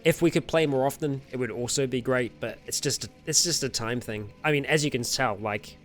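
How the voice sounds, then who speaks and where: A man's voice: mid-range voice, fairly quick. Chatty streamer man, faint music behind. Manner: chatty, rambling, frequent fillers and self-corrections, laughs often. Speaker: streamer host. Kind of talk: casual livestream chat, answering viewer comments.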